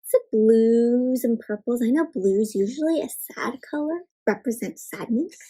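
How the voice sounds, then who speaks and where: A high-voiced woman reads a picture book aloud for children. She tells it expressively, with exaggerated gasps, and often turns to her young listeners with direct questions. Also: unhurried, mellow, breathy edge. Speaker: children's read-aloud host.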